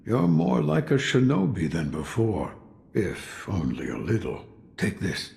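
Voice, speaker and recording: A man voicing a gruff, weary old sculptor who speaks tersely. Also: fairly low pitch, sing-song, a little slow, darkish tone, slight background noise, exaggerated voice. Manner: terse, gravelly, grudging.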